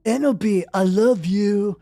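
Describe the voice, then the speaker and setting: A woman's voice: mid-range voice, a little slow, dark tone, no echo. Woman playing melodramatic lovesick goth boy. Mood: lovesick, sad.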